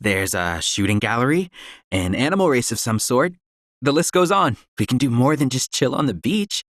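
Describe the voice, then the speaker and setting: A man's voice: medium pitch, animated, normal speed, a bit bright, no echo, studio quality. An actor playing an enthusiastic male tourist in casual video game dialogue. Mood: excited. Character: eager male tourist listing beach resort attractions.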